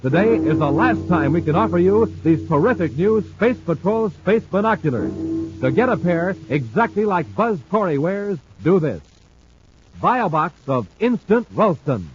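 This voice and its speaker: A man's voice: high-pitched, big pitch swings, normal speed, over music. A man working as announcer and narrator, reading a script in vintage radio style. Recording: muffled vintage radio recording, faint noise.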